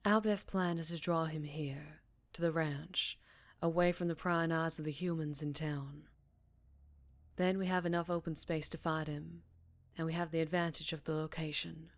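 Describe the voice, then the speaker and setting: Woman playing a low, soft-spoken vampire woman. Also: steady tone, dry recording. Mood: grave, cautious. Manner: soft, breathy, measured, with whispered phrases. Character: centuries-old female vampire town leader, secretive, wistful, tender. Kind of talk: intimate ASMR roleplay monologue.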